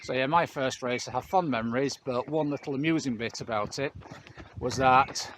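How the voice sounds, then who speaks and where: A middle-aged man co-hosting a running channel, chatting to camera in a relaxed, reflective way with dry humour. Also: mid-range voice, moderate pace, slight background noise. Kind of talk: casual chat to camera.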